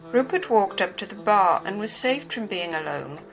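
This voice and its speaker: A woman's voice: medium pitch, lively intonation, moderate pace, over music, rough recording. A woman narrating a novel in a scripted, literary reading style.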